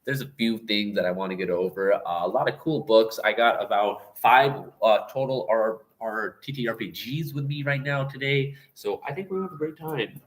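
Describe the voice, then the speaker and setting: A young man hosting a casual livestream, rambling and stop-and-start, a little hoarse. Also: medium pitch, moderate pace, hardly any pauses. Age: young adult. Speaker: livestream host.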